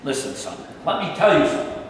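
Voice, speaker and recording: Male actor as stern questioning father, high-pitched, stage drama, very echoey hall. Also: fast, natural voice. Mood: grave, explaining. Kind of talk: staged play reading. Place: live staged reading in a hall. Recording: echoey hall, distant mic, faint noise.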